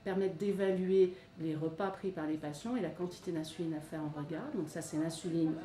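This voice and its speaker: A woman's voice: on the low side, fairly even intonation, a little fast, a crowd in the background. A woman giving an explanatory presentation of a health programme as its presenter. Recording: roomy, distant mic, faint noise.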